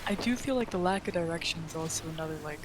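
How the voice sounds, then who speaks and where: A man's voice: on the high side, rapid-fire, background noise. A man chatting casually as a co-commentator on a game, asking curious questions. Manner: inquisitive. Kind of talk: casual chat.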